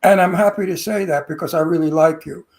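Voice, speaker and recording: A man's voice: fairly high pitch, fairly even intonation, a little fast, a little mellow. A man in his eighties co-hosting a celebrity interview show, talking in an effusive, emphatic way with lavish compliments and restarted phrases. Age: 80s. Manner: repeats words when starting sentences. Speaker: co-host.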